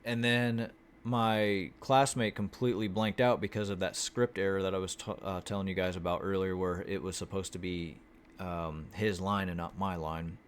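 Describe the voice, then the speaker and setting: Monotone man with a low voice. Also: normal speed, clear, resonant tone. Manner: monotone, swears freely, frequent restarts. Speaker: acting student vlogger. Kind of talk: casual chat. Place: Houston, Texas.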